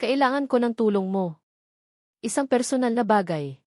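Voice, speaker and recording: A woman's voice: a little high, expressive, normal speed, a little mellow, no echo, studio quality, lightly acted. A woman with a Filipino accent voicing a troubled, urgent businessman in a narrated love drama.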